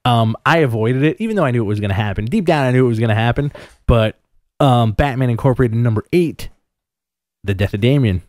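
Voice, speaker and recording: A man's voice: mid-range voice, expressive, fast, darkish tone, no echo, clean studio sound. A man co-hosting a podcast, chatting about comics in a jokey way with many fillers. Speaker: podcast co-host. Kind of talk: casual comics chat.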